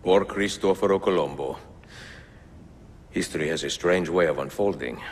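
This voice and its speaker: An actor playing a seasoned Italian assassin in a video game, calm, measured and wry, with an Italian accent. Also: male voice, low-pitched, a little hiss or hum, close to an everyday voice. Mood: courteous. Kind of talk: video game cutscene acting.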